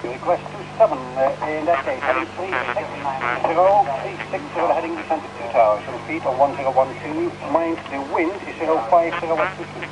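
A man's voice: fairly high pitch, very animated, brisk, long pauses. A man working as an air traffic controller, giving instructions over a radio. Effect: radio transmission. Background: clear radio noise and hiss.

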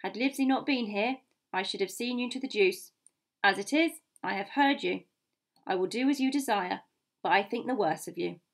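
A woman's voice: mid-range voice, moderate pace, lightly acted. Woman playing a blustering, pompous country squire. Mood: huffy, scornful. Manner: exclamatory, pompous. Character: blustering, indignant, hot-headed country squire, a man. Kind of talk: audiobook character voice.

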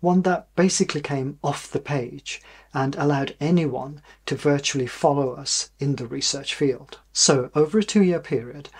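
Middle-aged British man, slightly husky presenter. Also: medium pitch. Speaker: university professor presenting research. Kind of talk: explanatory presentation to camera.

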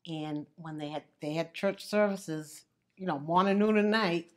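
Woman reminiscing about childhood above the church, lowish voice. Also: a little slow. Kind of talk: interview reminiscence.

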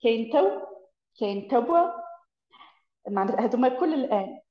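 Fast-talking Eastern Algerian woman. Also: on the high side. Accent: Eastern Algerian Arabic. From Tebessa, Eastern Algeria. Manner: quick, animated, laughs often. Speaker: interview guest. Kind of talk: casual chat explaining dialect. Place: room with bookshelves, indoors. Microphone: video call. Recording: roomy, dull video call audio.

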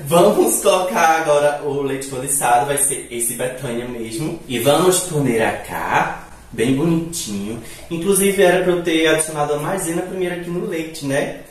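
A man's voice: on the high side, normal speed. Young man with a hissing northeastern Brazilian accent. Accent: northeastern Brazilian, Recife-like. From Natal, northeastern Brazil. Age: young adult. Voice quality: hissing, slushy s sounds. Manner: chatty, playful, breaks into giggles. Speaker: vlogger. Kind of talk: casual cooking vlog chat. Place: tiled home kitchen, indoors. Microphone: camera several feet away. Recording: echoey tiled kitchen, distant mic.

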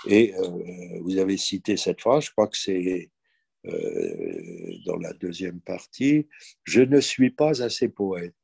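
A man in his seventies speaking reflectively and haltingly as he leads an online discussion, pausing often to think. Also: low voice, huge pitch swings, vocal fry, darkish tone, husky, dry recording. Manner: hesitant, halting, thoughtful. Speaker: discussion host, psychoanalyst. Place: indoor room at home, on a video call.

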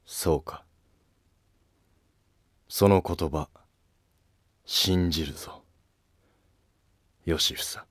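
A man's voice: very deep voice, fairly bright tone, no echo, studio quality, voice pushed down, full character voice. A man voicing an ailing old retired emperor, weary and solemn, in a historical drama. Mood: stern, searching. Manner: slow, solemn, lordly speech. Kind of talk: scripted historical drama dialogue.

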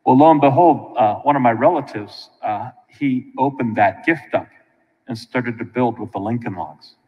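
American priest preaching in a reverberant church. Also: male voice, medium pitch, normal speed, phone-quality sound. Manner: colloquial and animated, with frequent asides to listeners. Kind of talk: informal conversational sermon. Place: large church sanctuary, indoors.

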